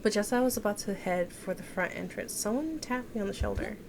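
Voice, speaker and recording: A woman game streamer reads a story aloud in a medium-pitched voice. She is expressive, slipping into casual asides and correcting her stumbles. There is clear background noise and game ambience behind her. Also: fast talker. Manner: stumbles over words and corrects herself. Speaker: game streamer reading a visual novel aloud.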